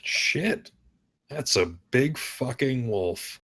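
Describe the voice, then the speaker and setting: A man's voice: mid-range voice, expressive, drawn-out words, creaky, a bit bright, exaggerated voice. A man playing a curt, impatient small-town detective in a screenplay table read. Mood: stunned.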